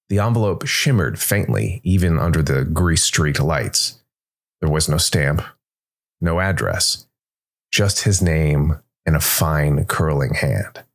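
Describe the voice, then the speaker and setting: A man's voice: very deep voice, gravelly. A man hosting a podcast and reading scripted story narration.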